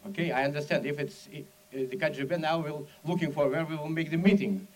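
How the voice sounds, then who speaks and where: A man from the Soviet Union with a Russian accent gives a public speech in broken, non-native English, telling his story in an animated, dramatic way with emphatic asides. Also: on the high side, a little fast, low-quality recording. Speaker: speaker at an event.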